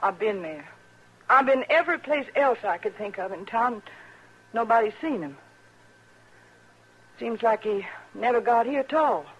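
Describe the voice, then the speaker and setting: A frontier ranch wife in an old radio western. Her voice is husky and plain, with a rural American accent, halting and restrained through her worry, on a muffled vintage recording. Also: fairly high pitch, animated. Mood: plain, earnest. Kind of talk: 1950s radio western acting.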